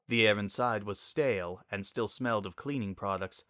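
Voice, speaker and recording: A man's voice: fairly low pitch, moderate pace, a bit bright, no echo, clean studio sound. A man reading a story aloud as narrator in a dramatic style.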